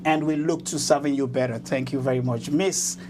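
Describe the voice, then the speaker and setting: A man's voice: medium pitch, fairly even intonation, a little fast, background noise. A man hosting an interview show in a formal, announcer-like way. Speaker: host.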